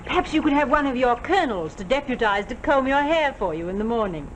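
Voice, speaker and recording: Cool, haughty countess, dryly disdainful. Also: female voice, sits high, expressive, quick pace, poor audio. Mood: cool, mocking. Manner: clipped, disdainful, dryly polite. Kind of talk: 1940s television drama acting. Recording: old 1949 soundtrack with faint noise.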